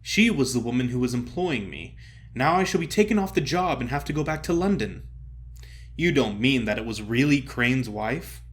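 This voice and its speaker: A man's voice: mid-range voice, lively intonation, moderate pace, close to an everyday voice. A man voicing an anxious, modest young amateur sleuth, plain-spoken and worried, in an audio story. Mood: startled, dismayed.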